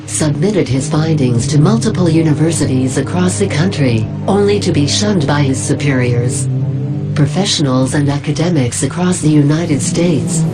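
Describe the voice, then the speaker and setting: Female initiation-tape narrator, played by an actress, very low, solemn scripted documentary read with prominent music bed. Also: poor audio. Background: loud music.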